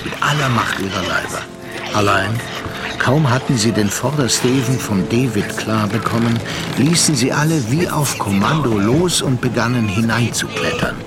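An actor as the grave narrator of a sea tragedy in a radio play, measured, solemn and dramatic. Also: male voice, mid-range voice, loud music behind the voice, natural voice. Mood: tense.

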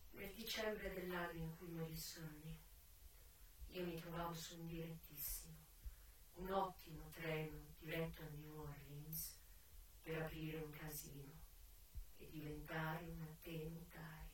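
A woman's voice: low voice, level tone, measured pace, a little hiss or hum. An actress playing a brassy, shrewd American brothel madam who recounts her memoirs in a dramatic, declamatory theatrical monologue, savouring her words. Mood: grave, hushed. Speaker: stage actress. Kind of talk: theatrical first-person monologue. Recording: distant, echoey stage recording with music.

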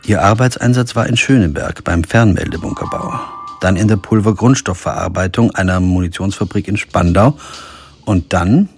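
A weary, principled lawyer telling his own crime story in a radio drama. His delivery is measured and literary, with music clearly playing behind him. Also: deep voice. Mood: brooding, measured. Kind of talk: first-person radio crime drama narration and dialogue.

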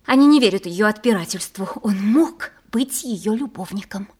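A woman's voice: medium pitch, lively intonation, normal speed, darkish tone, slightly rough. Anxious, insistent woman in a radio drama. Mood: confiding, hesitant. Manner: emotional, urgent, pointed. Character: anxious, insistent, jealous young woman pleading for her man. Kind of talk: Russian radio play acting.

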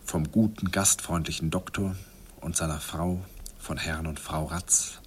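A man's voice: low voice, steady tone, measured pace, a little hiss or hum. An actor playing a wistful man who narrates memories of his youthful love in a confiding, self-mocking radio play style. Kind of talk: intimate first-person radio play narration.

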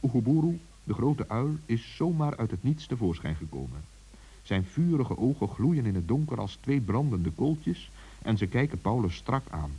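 A man's voice: medium pitch, big pitch swings, moderate pace, warm tone, slightly rough, rough recording. A man narrating a children's story intimately, speaking directly to listeners and characters.

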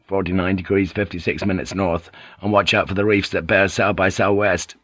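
A man's voice: low voice, fairly even intonation, normal speed, fairly bright tone, no echo. A man voicing a weary captive ship pilot who answers in a terse, subdued way, on a dull cassette audiobook tape. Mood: wary.

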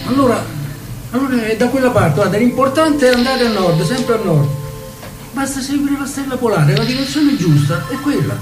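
An actor, urging companions onward. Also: male voice, extremely high pitch, animated, normal speed. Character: eager male guide urging the travellers onward. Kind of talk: radio theatre acting. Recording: echoey distant mic, music over it.

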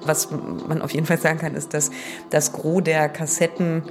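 Low-voiced woman explaining figures factually. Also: little pitch movement, normal speed, over music. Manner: factual, precise. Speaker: music industry association spokesperson, interviewee. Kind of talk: explanatory interview talk.

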